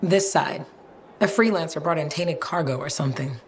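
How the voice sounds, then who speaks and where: A woman's voice: low-pitched, normal speed, close to an everyday voice. An actress playing a cranky, nostalgic townswoman in a video game, talking in a wry, plainspoken way. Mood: weary, irritated. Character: cranky, nostalgic townswoman stuck outside fort gates. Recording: game audio with clear ambient noise.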